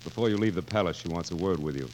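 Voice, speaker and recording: A man's voice: low voice, very fast, a little mellow, close to an everyday voice. An actor playing a peevish, crafty, weary king of France in 1940s radio style, dry and querulous. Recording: noisy vintage 1940s radio recording.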